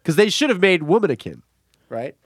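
A man's voice: high-pitched, big pitch swings, a little fast, no room sound, clean studio sound. A man in his forties co-hosting a podcast in casual comedic banter, rambling and a bit hesitant as he talks. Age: 40s. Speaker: podcast co-host.

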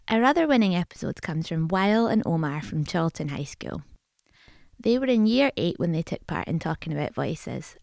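A woman's voice: low voice, gravelly, darkish tone, no room sound, studio quality. A woman presenting a podcast, reading a scripted introduction in an upbeat, announcing manner.

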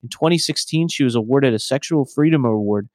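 A man's voice: medium pitch, brisk, darkish tone, no echo, studio quality. A man co-hosting a podcast, upbeat as he reads through the guest's credentials. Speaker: podcast co-host. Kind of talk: podcast introduction.

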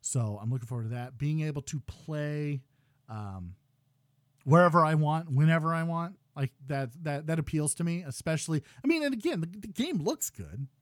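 A man in his thirties hosting a gaming podcast, ranting loudly with exasperation while losing his voice. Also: fairly high pitch, animated, normal speed, dry recording, clean studio sound. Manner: loud exasperated ranting, swings to quiet muttering. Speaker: podcast host.

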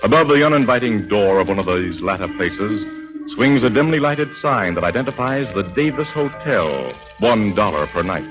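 Animated 1940s radio announcer, man, loud music. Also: lowish voice, normal speed, highs cut off. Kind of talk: 1940s radio announcing, dramatic scripted narration.

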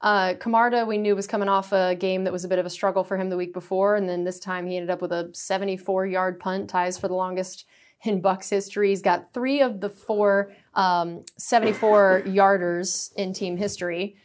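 A woman's voice: medium pitch, steady tone, moderate pace. A woman who is a team reporter hosting a radio sports show, asking poised, upbeat interview questions.

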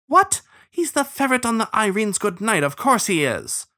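An impatient, blustering starling deputy from a storybook, played by a man. The voice is pushed high and sharp, snapping and sputtering with fussy indignation. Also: very animated, normal speed, full character voice.

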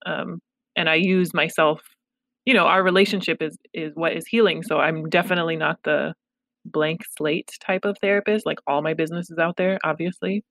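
A woman therapist speaks openly in a relaxed interview, reflective and quick to laugh at herself. Her voice sits a touch low. The sound is close and dry, with no room around it. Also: dry recording. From Los Angeles, United States. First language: Pashto. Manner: candid, reflective, self-deprecating humor. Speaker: therapist, guest. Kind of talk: casual conversational interview.

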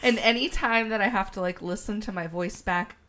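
A woman co-hosting a casual podcast chat, her voice deep and fried from a sinus infection, laughing often and swearing casually. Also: brisk, fairly bright tone, a little hiss or hum. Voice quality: gravelly. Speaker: podcast co-host.

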